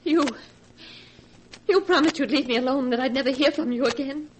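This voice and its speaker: An actress playing a frightened, secretive wife in a 1940s radio melodrama, tremulous and pleading, on a hissy old recording. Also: female voice, high-pitched, brisk, lightly acted. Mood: defiant, desperate.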